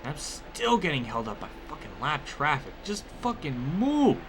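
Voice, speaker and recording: A man's voice: on the high side, very animated, normal speed, background noise. A man giving casual, rambling gameplay commentary mixed with personal storytelling, conversational and free with swearing. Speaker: gaming video creator.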